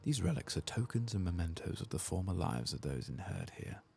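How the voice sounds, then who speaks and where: Man reading as a deep, solemn tomb keeper. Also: big pitch swings, a little fast, gravelly, slight background noise, exaggerated voice. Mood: solemn, grateful. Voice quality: soft-spoken. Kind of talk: reading fantasy game quest dialogue aloud.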